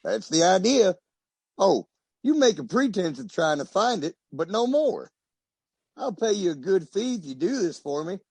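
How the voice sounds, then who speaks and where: Man playing a high, husky, flustered husband. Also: big pitch swings, moderate pace, darkish tone, dry recording, exaggerated voice. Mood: conspiratorial. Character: nervous, guilty wealthy husband hiring off a detective. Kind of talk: old-time radio detective drama acting.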